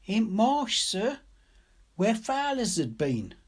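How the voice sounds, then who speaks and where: A man's voice: high-pitched, huge pitch swings, takes it slowly, voice pushed up, exaggerated voice. A man voicing a timid, anxious twelve-year-old country girl who speaks hesitantly and politely in a storybook reading. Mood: anxious, questioning. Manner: hesitant, questioning.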